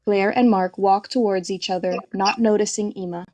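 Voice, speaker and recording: Mellow woman reading stage directions. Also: medium pitch, fairly even intonation, moderate pace. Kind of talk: scripted narration.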